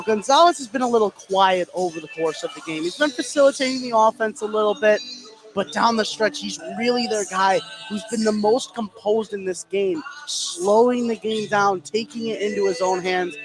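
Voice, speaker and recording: A man giving conversational color commentary on a live basketball radio broadcast from a high school fieldhouse, often saying "you know". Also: moderate pace, poor audio. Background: thumping music.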